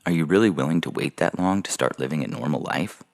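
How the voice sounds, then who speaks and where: A man playing a slick, callous adoption agency salesman with gravelly smooth patter in an audio story. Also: rumbling low, expressive, quick pace, full character voice. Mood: smooth selling. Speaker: voice actor reading a fiction podcast story. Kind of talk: dramatized short story reading. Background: music under the voice.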